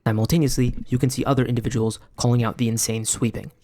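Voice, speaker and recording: A man's voice: medium pitch, level tone, quick pace, darkish tone, a little hiss or hum. A man reading scripted video-essay narration.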